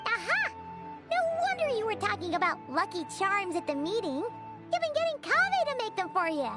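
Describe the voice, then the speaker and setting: A tiny female fairy sidekick from a game, squeaky and extremely high. She is chirpy, childlike and excitable, bouncing around in pitch with music behind her. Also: a little fast. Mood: delighted. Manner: excitable, speaks of herself in third person. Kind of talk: video game story dialogue acting.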